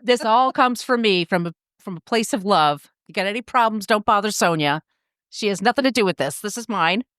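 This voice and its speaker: A woman's voice: medium pitch, lively intonation, fairly quick, fairly bright tone, no room sound, clean studio sound. A woman co-hosting a podcast in casual, jokey chat, swearing freely. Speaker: podcast co-host.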